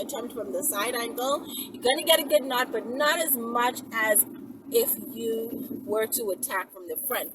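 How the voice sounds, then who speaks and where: A woman in her thirties chatting casually and emphatically as she gives step-by-step instructions, often checking in with the listener. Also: fairly high pitch. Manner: animated, emphatic, checks in with "right?" and "okay?". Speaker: vlogger giving instructions. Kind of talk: casual instructional chat to camera. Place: inside a car, daytime. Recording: distant mic with loud vehicle noise. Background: loud car and road noise.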